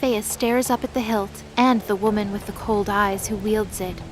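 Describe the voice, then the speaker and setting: A woman's voice: mid-range voice, steady tone, normal speed, a little hiss or hum. A woman narrator reading a scripted dramatic fantasy story.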